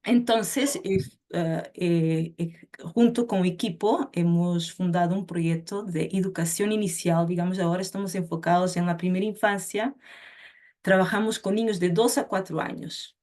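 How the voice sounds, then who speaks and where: A woman's voice: fairly low pitch, fairly even intonation, normal speed, a little mellow. Portuguese-accented woman presenting, hesitant. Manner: hesitant, many fillers and restarts. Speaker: presenter of an education project. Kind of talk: casual online presentation.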